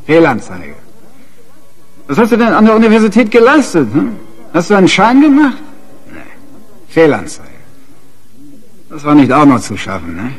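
A man's voice: on the high side, wildly swooping pitch, normal speed, pauses often, husky, full character voice. An actor playing a middle-aged father in a radio crime drama, hectoring his grown son with sarcastic, clipped questions. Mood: scornful, angry.

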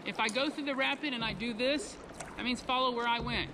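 Middle-aged man, high-ish voice, explains things on the fly outdoors. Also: background noise. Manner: chatty, explains as he paddles. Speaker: kayaking vlogger. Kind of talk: casual outdoor narration to camera. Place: on a river in a kayak, outdoors. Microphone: action camera on the kayak. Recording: loud rushing water behind.

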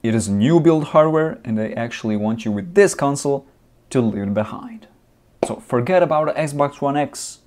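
A man's voice: medium pitch, lively intonation, normal speed, a little mellow. A young man, not a native English speaker, ranting in a casual gaming vlog with lots of restarts and fillers, recorded on a webcam in a small room. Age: young adult. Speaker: gaming vlogger. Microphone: webcam at desk, close framing.

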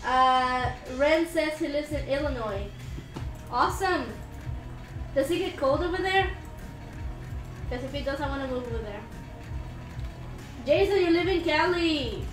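A young woman chatting casually as a live stream co-host, giggly and a little halting as she reads comments aloud. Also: high-pitched. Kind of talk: casual chat. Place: indoor room, live stream. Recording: roomy sound, loud music behind. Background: music.

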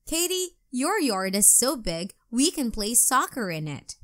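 A woman's voice: on the high side, big pitch swings, a little slow, fairly bright tone. A woman voicing a playful, eager young boy in a children's storybook, exclaiming with enthusiasm.

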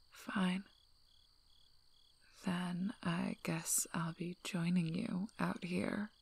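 A tough female werewolf alpha, acted by a woman in an ASMR roleplay, speaking softly in a husky, breathy near-whisper. She is slow and gravelly, dryly teasing and gruffly protective. The tone stays very level. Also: lowish voice, level tone, slight background noise, full character voice. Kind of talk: soft-spoken ASMR roleplay.

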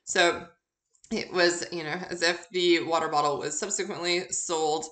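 Bright-voiced woman lecturing in a roomy space. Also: fairly low pitch, steady tone, measured pace. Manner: conversational, poses questions and answers them. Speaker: university accounting instructor. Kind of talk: informal recorded lecture, explanatory.

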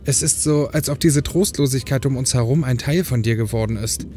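A man's voice: medium pitch, a little fast, mellow, faint music behind, lightly acted. A man acting a concerned, pushy but caring brother in an audio drama, speaking earnestly and persuasively. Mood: concerned, coaxing.

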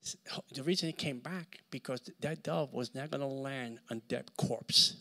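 A middle-aged man with a Puerto Rican accent preaching a sermon with a handheld mic in a large church hall, swinging between shouts and near-whispers. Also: mid-range voice, normal speed, vocal fry. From Puerto Rico. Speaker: guest preacher.

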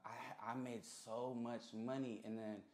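A man's voice: mid-range voice, little pitch movement, a little slow, a little mellow. A young American man chatting casually as an interview guest, with slangy, sweary talk full of check-in phrases. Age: young adult. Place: small indoor recording studio. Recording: echoey small room.

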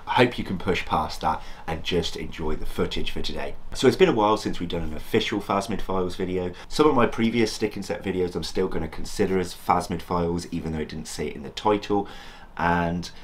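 A deep-voiced man in his thirties, croaky from a sore throat, hosts a casual insect-keeping vlog. He chats with easy enthusiasm and pokes fun at himself. A faint room hum sits behind his voice. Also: brisk. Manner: chatty, enthusiastic, self-deprecating. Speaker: channel host, insect keeper. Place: indoor room full of insect enclosures.

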